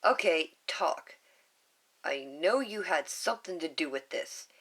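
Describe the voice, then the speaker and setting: A woman's voice: deep voice, animated, measured pace, heavily acted. A woman reading a storybook aloud, voicing a fierce, protective young woman who demands answers. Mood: demanding. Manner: demanding, sharp, raised.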